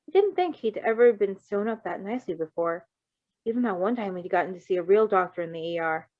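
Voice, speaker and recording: A woman's voice: mid-range voice, expressive, brisk, dry recording. A woman narrates fiction in a mid-range voice, playful and ready to riff in casual asides. The recording is very dry and slightly muffled. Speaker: reader of fan fiction.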